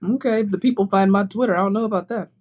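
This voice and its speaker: A woman guest on a casual podcast, joking and animated as she tells stories over a call. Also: mid-range voice, very fast. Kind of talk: casual chat. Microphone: remote call.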